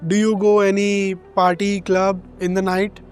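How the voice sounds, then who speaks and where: A man's voice: high voice, completely flat delivery, unhurried, faint music behind. A man from India with an Indian accent, chatting casually in a shopping mall as a travel vlogger, mixing Hindi and English. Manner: friendly, switches between Hindi and English. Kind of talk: casual vlog chat. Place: large indoor shopping mall.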